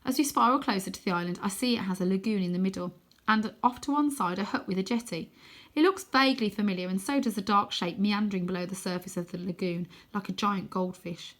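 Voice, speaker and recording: A woman reading a children's novel aloud as expressive narration, with dramatic, animated emphasis. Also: medium pitch, fast.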